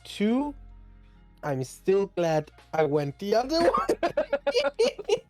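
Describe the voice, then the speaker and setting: Slow, lively woman with a mellow voice. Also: medium pitch, no echo. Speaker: player in a tabletop game. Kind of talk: casual chat. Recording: dry, with faint music behind. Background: faint background music.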